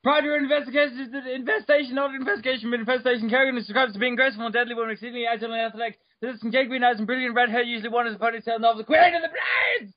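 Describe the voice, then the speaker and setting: A man giving a mock-dramatic reading of an article, over the top, bursting into shouts and stumbling over words. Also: very high voice, very fast, hardly any pauses, dry recording, highs cut off. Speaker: reader.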